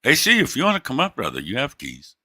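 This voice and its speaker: A man's voice: medium pitch, huge pitch swings, quick pace, bright, forceful tone. A man hosting a livestream, teasing and profane in crude casual banter, with a drawl. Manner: brash, profane, teasing, laughs a lot. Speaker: livestream host.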